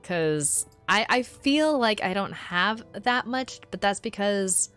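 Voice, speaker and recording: A woman's voice: mid-range voice, expressive, takes it slowly, a bit bright, faint music behind. A woman from California chatting casually on a stream, playful and curious, laughing often.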